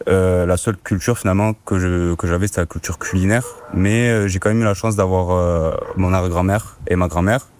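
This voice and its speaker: A man's voice: low-pitched, steady tone, fairly quick, hardly any pauses, a little mellow, a little hiss or hum. A young man of twenty, an interview guest, speaking earnestly with many fillers. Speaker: student guest. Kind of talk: casual interview.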